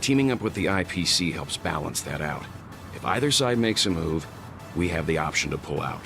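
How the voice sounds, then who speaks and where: Deep, gravelly, grave male advisor. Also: lively intonation. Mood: grave, guarded. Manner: deliberate, serious, reserved. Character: grave, methodical mature man, wary seasoned advisor. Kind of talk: scripted game story dialogue. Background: background music.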